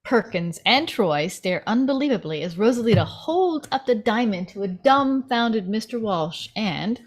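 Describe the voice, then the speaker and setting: A woman's voice: a little high, expressive, a little slow. A woman reading screenplay stage directions as a narrator in a dramatic scripted style.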